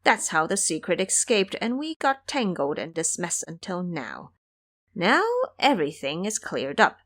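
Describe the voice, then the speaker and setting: A woman's voice: fairly low pitch, big pitch swings, unhurried, a bit bright, heavily acted. A woman voicing a brash, cocky male monkey immortal in an audio drama, with a bossy, boastful manner.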